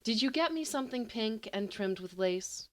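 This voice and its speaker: A self-assured teenage girl, voiced by a woman reader, dry and teasing in a storybook tale. She is fondly bossy, with very lively intonation. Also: a little high, moderate pace, pitched above the performer's own voice, natural voice. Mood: wry.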